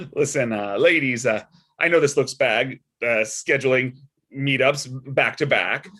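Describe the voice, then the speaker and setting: A man's voice: medium pitch, expressive, a little slow, bright, forceful tone. A man playing an affable, easily flustered older church deacon and father in a comedy table read. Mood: sheepish, defensive.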